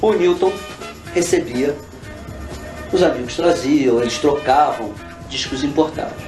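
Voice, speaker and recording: A man's voice: a little high, measured pace. A Brazilian man telling anecdotes in a spontaneous interview recollection. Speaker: interviewee recalling radio history. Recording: roomy, distant interview with music.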